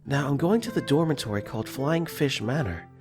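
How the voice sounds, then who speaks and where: A man's voice: medium pitch, expressive, brisk, music in the background, lightly acted. A man voicing an easygoing, wry teenage boy who narrates his own story in a conversational tone with joking asides, for a visual novel fan dub. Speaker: voice actor. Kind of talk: first-person visual novel narration and dialogue.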